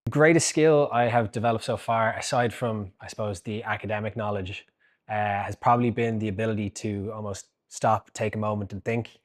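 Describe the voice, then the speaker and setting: A man in his twenties, a graduate student, giving a reflective testimonial with frequent pauses and fillers. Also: fairly low pitch, fairly even intonation. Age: 20s.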